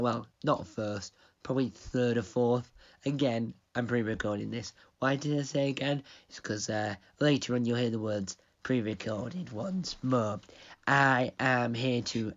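A man's voice: mid-range voice, lo-fi sound. A young man who owns a radio station, rambling through a pre-recorded intro while losing his voice. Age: young adult. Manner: rambling, digressive.

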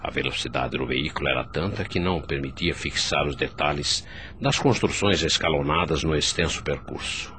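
A departed doctor recalling the afterlife in a Brazilian radio drama. His voice is extremely low yet bright and firm, and his phrasing is formal and measured. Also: a little hiss or hum. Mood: measured recollection. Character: male doctor's spirit recounting his arrival in afterlife. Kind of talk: scripted radio drama narration.

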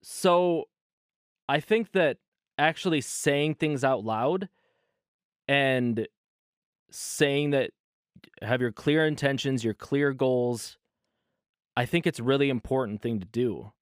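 A man's voice: medium pitch, slow pace, pauses often, clear, resonant tone, no room sound, clean studio sound. A man in his thirties hosting a casual solo podcast from his bedroom, talking loosely and often correcting himself. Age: 30s. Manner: loose, rambling, self-correcting. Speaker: podcast host.

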